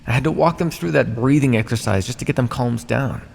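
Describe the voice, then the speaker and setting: A man playing a sardonic vampire captor in an ASMR roleplay, speaking conversationally with hesitant pauses. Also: mid-range voice, vocal fry, darkish tone. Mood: defensive, exasperated. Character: teasing, menacing male vampire captor, centuries old scientist. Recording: long hall reverb, faint background noise.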